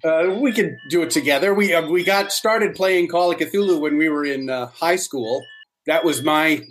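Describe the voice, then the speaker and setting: A man's voice: a little high, normal speed, slight background noise, rough recording. A middle-aged man reminiscing casually as an interview guest.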